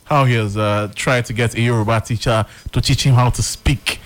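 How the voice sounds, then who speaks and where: A man with a Nigerian accent hosting a panel discussion, framing questions in a loose, conversational way with many pauses. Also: mid-range voice, steady tone. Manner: conversational, hesitant fillers while framing questions. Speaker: talk show host.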